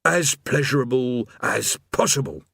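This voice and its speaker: A man's voice: lively intonation, measured pace, fairly bright tone, dry recording, studio quality, heavily acted. A man voicing a put-upon, mournful demon gatekeeper who grumbles like a tired petty official, in an audiobook story. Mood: stiffly reciting. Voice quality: rasping, rumbling. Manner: plodding recited customer-service patter breaking into chatty working-class grumbling.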